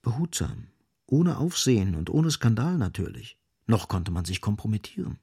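An actor as the sardonic narrator of a literary radio play, gravelly and husky, speaking with ironic, dramatic emphasis. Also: male voice, fairly low pitch, sing-song, normal speed, darkish tone, full character voice. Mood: dry irony.

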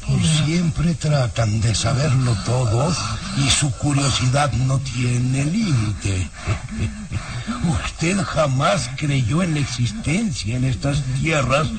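An actor playing a sinister old baron in a radio serial, speaking with deliberate, mocking menace. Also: male voice, mid-range voice, recorded from a distance. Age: older. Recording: radio drama with loud music behind. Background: loud dramatic music.

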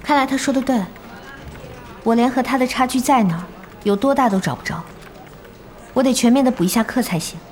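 A woman's voice: a little high, brisk, pauses often, darkish tone, a little hiss or hum, lightly acted. A woman playing a stubborn, determined young novice gamer in an audio drama, with a resolute manner. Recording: music bed under the dialogue.

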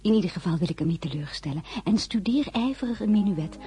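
An actress playing a tender, wry young woman narrating her diary in a radio drama, soft, husky and breathy. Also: on the low side, lively intonation, fast. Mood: wry, confiding.

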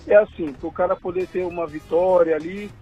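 A man from São who coaches amateur football, talking as a guest in a halting, repetitive way. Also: high-pitched, fairly even intonation, slightly rough, dull audio, faint music behind. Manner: hesitant, repeats words. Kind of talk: casual interview chat.

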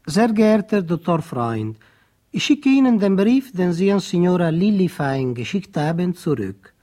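An actor playing a courteous Italian count in a radio play, speaking formally with an Italian accent. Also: male voice, sits high, big pitch swings, measured pace, warm tone. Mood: polite, concerned. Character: courteous Italian count, mildly flustered gentleman. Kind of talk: radio play, letter read aloud in character.

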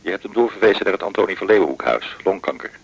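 Male medical colleague, fast and husky, concerned, telephone voice in a naturalistic radio play. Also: sits low, animated, muffled recording, exaggerated voice. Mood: urgent, businesslike. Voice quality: gravelly, husky. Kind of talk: naturalistic 1980s radio drama acting.

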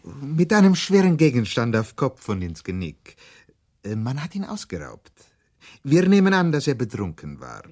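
An actor playing a courteous but insistent Italian police officer in a German radio crime drama, questioning formally in a husky voice. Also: male voice, medium pitch, wildly swooping pitch, normal speed, darkish tone. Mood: probing, insistent.

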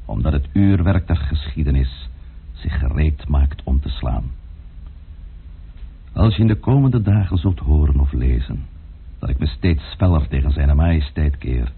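An actor voicing a scheming aristocrat reading a secret letter aloud, grave and measured, in an old radio play. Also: male voice, a bass voice, lively intonation, gravelly, husky, heavily acted. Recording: old lo-fi radio recording with music.

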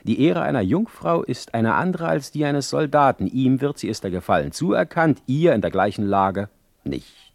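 A man's voice: mid-range voice, big pitch swings, moderate pace, gravelly, no echo. An actor playing a brooding, ironic poet in a radio play, speaking an eloquent, theatrical monologue as a letter to his brother. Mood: ironic, reflective.

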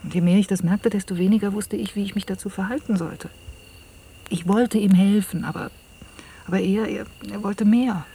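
An actress playing a troubled, soft-spoken woman in an intimate radio drama, often hushed to a near-whisper as she confides and narrates. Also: mid-range voice, steady tone, moderate pace, breathy edge. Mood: troubled, confiding. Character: troubled, soft-spoken woman recounting an entangled love affair. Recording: hissy old cassette radio-play recording.

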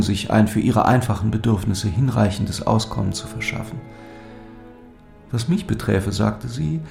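An actor playing a lovesick, despairing young lord who speaks in earnest, solemn, literary German drama style. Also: male voice, on the low side, creaky, darkish tone. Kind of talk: German audio drama acting. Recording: music under the voice.

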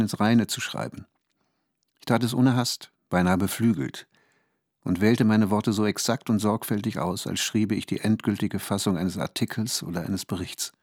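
An actor playing a troubled, intense male scientist who narrates his own ordeal in measured radio drama style. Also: on the low side, darkish tone, no echo, clean studio sound. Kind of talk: German radio drama, literary first-person narration.

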